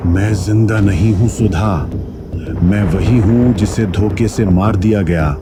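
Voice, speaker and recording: Man playing low-voiced solemn ghost milkman. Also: measured pace, natural voice. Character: ghost of an honest, wronged village milkman, solemn and grateful. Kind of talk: Hindi cartoon story voice acting. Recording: music behind the voice.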